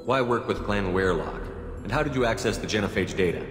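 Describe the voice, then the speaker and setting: A man plays a principled commander from a sci-fi game, low-voiced and quick in delivery. He is direct and authoritative, heard in an echoing hall over music. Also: lively intonation, natural voice. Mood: probing. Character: male military commander, firm, level-headed, principled hero. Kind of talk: video game cutscene acting. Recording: echoey hall reverb under clear background music.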